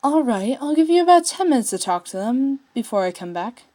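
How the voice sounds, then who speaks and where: A woman's voice: sits high, big pitch swings, fairly quick, dark tone, pitched above the performer's own voice. A woman as a smug, drawling alien prince. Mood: condescending. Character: smug, teasing male alien prince villain.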